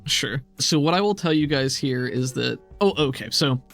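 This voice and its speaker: A man's voice: mid-range voice, animated, moderate pace, gravelly, a bit bright. Easygoing male game master over music. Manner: easygoing, conversational, encouraging. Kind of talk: narrating a tabletop role-playing game.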